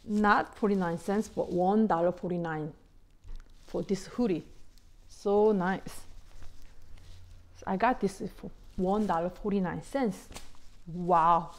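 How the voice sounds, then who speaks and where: A middle-aged woman, not a native English speaker, excitedly chatting to viewers about bargain clothes in simple English with many "you know"s. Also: mid-range voice. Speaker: vlogger showing a shopping haul. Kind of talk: casual chat to viewers.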